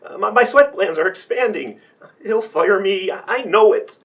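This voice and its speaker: Nervous, fretful stammerer with a medium-pitched voice, played by a woman in radio comedy. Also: animated, moderate pace, natural voice. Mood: anxious. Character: jittery, fretful, stammering radio actor afraid of being fired. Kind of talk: radio play comedy acting.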